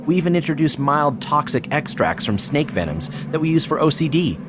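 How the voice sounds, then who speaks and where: An actor playing an earnest, persuasive psychiatrist in an audio drama, explaining in a measured, solemn way. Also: male voice, a little high, very animated. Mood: intrigued, grave. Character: earnest, persuasive male psychiatrist pressing his case. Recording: clearly noisy background ambience.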